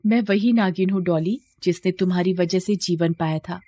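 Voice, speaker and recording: A woman's voice: on the low side, moderate pace, warm tone, no room sound, natural voice. A woman voicing a shape-shifting serpent in a moral story, solemn, earnest and grateful.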